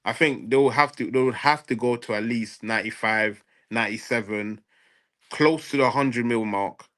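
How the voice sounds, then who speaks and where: A man's voice: medium pitch, normal speed, fairly bright tone. A man in a casual football transfer debate, speaking emphatically and repeating phrases for stress. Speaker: football fan show panelist.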